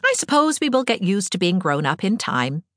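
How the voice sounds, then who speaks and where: Storybook eighteen-year-old girl voiced by a female reader: bright-spirited, whimsical, high-pitched, very expressive swings. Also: normal speed, no echo, clean studio sound. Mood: playful. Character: cheerful, fanciful eighteen-year-old girl, playful and talkative. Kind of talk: audiobook character dialogue.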